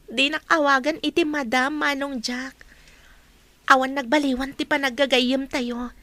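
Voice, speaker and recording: A woman's voice: high voice, steady tone, unhurried, sharp tone. A Filipino woman acting in a radio drama as a kind young heiress and new boss, earnest and emotive. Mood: tender, earnest.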